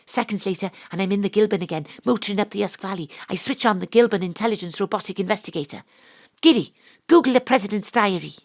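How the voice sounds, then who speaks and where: An actress playing a brisk, sardonic female private investigator in an audio drama, telling the story in a dramatic, knowing way. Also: medium pitch, no room sound, close to an everyday voice. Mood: exasperated. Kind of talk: audio drama narration and dialogue, hard-boiled detective parody.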